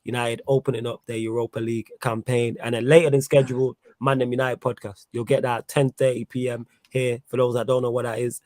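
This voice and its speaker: A man hosts a live football fan show. He talks casually with plenty of slang and banter. His voice is mid-pitched and recorded dry and close. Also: little pitch movement, fairly quick, few pauses, a little mellow, dry recording. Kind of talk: casual live sports chat.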